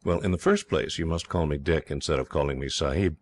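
A hearty young English officer talks in a very deep, steady voice, as a man reads him. He sounds cheerful and reassuring, laying out plans calmly like a storybook hero. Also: brisk.

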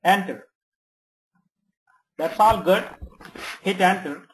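A software trainer giving step-by-step instructions in short commands, checking in often during a screen tutorial. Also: mid-range voice, takes it slowly, low-quality recording. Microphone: screen-recording voice-over.

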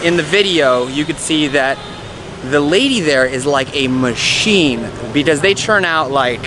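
A man's voice: fairly high pitch, expressive, unhurried. A young man talking casually and eagerly to a camera held at arm's length, outdoors in a city park, with lots of fillers. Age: young adult. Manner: chatty, enthusiastic, many fillers. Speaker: travel vlogger. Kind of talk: casual travel vlog. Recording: outdoor street noise.

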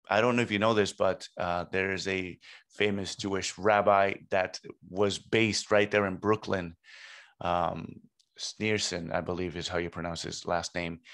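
A man's voice: on the low side, a bit bright. A man in his thirties from Puerto Rico and New York, telling personal stories conversationally as a podcast guest. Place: small home studio room. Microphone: close podcast microphone on boom arm.

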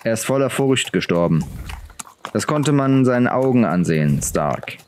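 Man as a quiet, solemn teenage boy. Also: mid-range voice, lively intonation, normal speed, a little hiss or hum, natural voice. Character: quiet, serious, observant fourteen-year-old youth.

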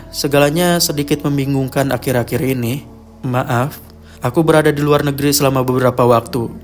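A man narrating a scripted horror explainer as host, with dramatic storytelling delivery. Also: medium pitch. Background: music behind the voice.

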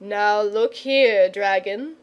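Woman playing a slow, firm, kindly gentleman knight. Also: mid-range voice, close to an everyday voice, low-quality recording. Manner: measured, firm, reassuring. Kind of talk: children's storybook character.

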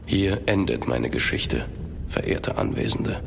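A man's voice: very deep voice, expressive, unhurried, gravelly, slight background noise, exaggerated voice. An actor playing a grave, weary French aristocrat, narrating his past formally and with melancholy in a German audio drama. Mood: grave, melancholic. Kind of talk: scripted first-person narration in audio drama.

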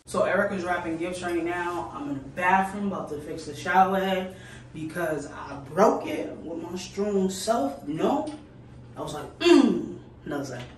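A woman's voice: fairly low pitch, animated, moderate pace. A young woman talking casually to camera in an informal, matter-of-fact way. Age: young adult. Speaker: vlogger. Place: small tiled home bathroom. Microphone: camera a few steps away. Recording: echoey bathroom, distant mic, background noise.

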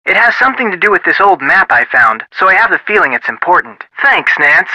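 Breezy young American detective, higher-pitched. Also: male voice, sing-song, fairly quick, sharp tone, dry recording, full character voice, low-quality recording. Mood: casual, curious. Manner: casual, folksy, chatty. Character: friendly, breezy young male amateur detective. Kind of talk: video game dialogue.

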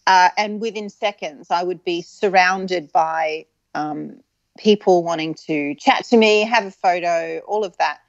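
A woman in her forties from Adelaide, with a soft Australian accent that leans English, reminiscing frankly as an interview guest with many ums and restarts. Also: lowish voice, measured pace, no room sound, lo-fi sound. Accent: soft Australian with an English tinge.

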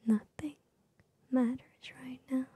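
A young woman giving soothing ASMR affirmations in a soft, breathy whisper, gentle and repetitive, very close to the microphone. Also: sits high, steady tone, slow. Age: young adult. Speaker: ASMR host. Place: small bedroom with gaming setup, indoors. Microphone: large microphone very close to the mouth.

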